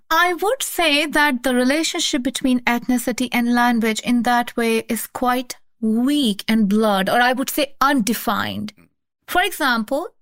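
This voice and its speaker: A woman's voice: high-pitched, a bit bright, dry recording, studio quality. A woman from Pakistan, a researcher, earnestly explaining her work in a conversation and often repeating her phrases. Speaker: researcher, guest. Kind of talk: academic conversation.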